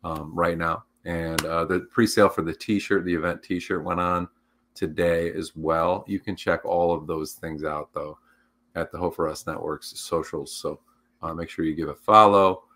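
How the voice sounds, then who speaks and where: A man's voice: low-pitched, fairly even intonation, moderate pace, fairly bright tone. A man co-hosting a livestream talk show, chatting casually and rambling with lots of fillers. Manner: rambling, filler-heavy, upbeat. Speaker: co-host.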